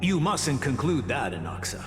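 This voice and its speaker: A man voicing a patient teacher in a video game, didactic and theatrical, gently admonishing. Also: medium pitch, sing-song, normal speed, lightly acted. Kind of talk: scripted video game voice acting. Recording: echoey hall reverb under music.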